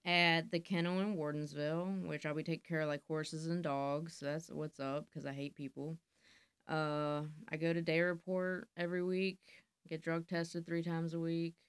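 A woman's voice: sits low, flat delivery, normal speed, smooth, no echo. A woman, a guest talking bluntly and matter-of-factly in a candid interview, recorded on a studio mic. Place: podcast studio, indoors. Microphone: studio mic on boom arm.

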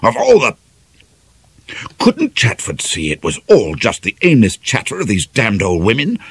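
A male narrator acts an irritable village doctor in a British novel reading. He has a British accent and a husky, clipped, blustering delivery, indignant and nervy, with lively pitch. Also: unhurried, heavily acted. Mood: furious.

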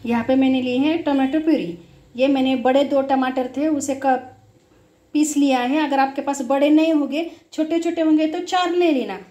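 Female home cook, high voice, simple step-by-step recipe narration, echoey kitchen. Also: fairly even intonation, normal speed, mellow. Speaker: home cook, cooking show host. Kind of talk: casual recipe demonstration. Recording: echoey room.

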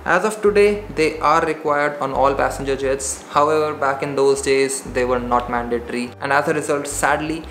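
A man's voice: mid-range voice, moderate pace, hardly any pauses, fairly bright tone, slight background noise. A young man with an Indian English accent, earnestly explaining an aviation story to camera as a pilot. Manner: earnest, gestures a lot while explaining. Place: indoor room with shelves. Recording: roomy echo, faint music behind.

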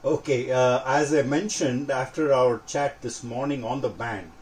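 An older man giving an informal technical demonstration as a radio hobbyist, speaking in a measured, matter-of-fact way. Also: medium pitch, darkish tone. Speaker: amateur radio hobbyist presenting. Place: indoor home radio workshop. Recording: clearly noisy home workshop recording.

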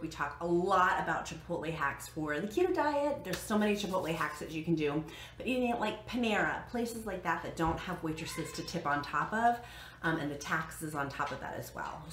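Low-pitched American woman in an echoey room. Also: lively intonation, a little fast, fairly bright tone. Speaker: vlog host giving budget diet tips. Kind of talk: casual vlog chat to camera. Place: living room at home, indoors. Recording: echoey living room with background noise.

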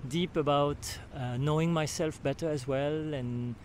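A man's voice: fairly high pitch, steady tone, a little slow, slight background noise. An older man from Switzerland with a French accent gives a spoken testimonial outdoors at a beach camp, speaking into a handheld recorder and often searching for words and restarting. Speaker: retreat participant giving a testimonial. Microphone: handheld recorder held near the mouth.

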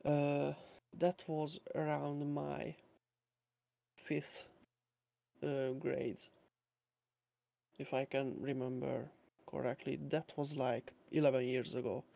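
A young man from Hungary, a Hungarian speaker, talking slowly with many fillers as a guest on a casual online interview. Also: mid-range voice, little pitch movement, vocal fry, warm tone. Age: young adult. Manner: slow and hesitant, many uh fillers, nervous laughs. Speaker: guest musician. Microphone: home mic with external sound card.

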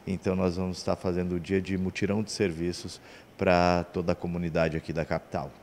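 A man's voice: low-pitched, little pitch movement, a little fast, darkish tone. A Brazilian man in his forties, the show's chief, speaking steadily into a handheld mic in an indoor TV newsroom. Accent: Brazilian Portuguese. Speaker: TV show chief. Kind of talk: studio TV news segment.

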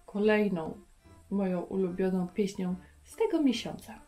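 A woman's voice: mid-range voice, a little slow, loud music behind the voice. A middle-aged woman hosting a children's Bible lesson, speaking directly and encouragingly to her young viewers. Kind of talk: presenting to children. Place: furnished living room indoors.

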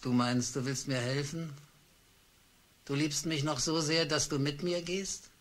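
An actor playing a meek, downtrodden office clerk who narrates his own story, nervously excited and blustering. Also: a little high, measured pace. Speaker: narrator and lead of a radio play. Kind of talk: radio drama with first-person narration.

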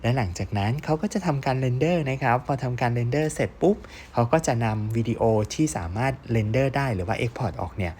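A male online course instructor with a mid-pitched voice. He explains software step by step, puts questions to his learners and encourages them as he goes. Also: animated, fast, hardly any pauses, a little hiss or hum. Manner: encouraging, asks learners questions and praises answers.

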